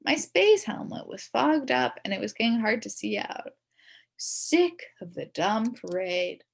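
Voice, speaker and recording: A woman reading a children's story aloud in the first person as a kid, expressively, with dramatic emphasis and drawn-out words. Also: medium pitch, big pitch swings, vocal fry, husky. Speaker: storybook reader.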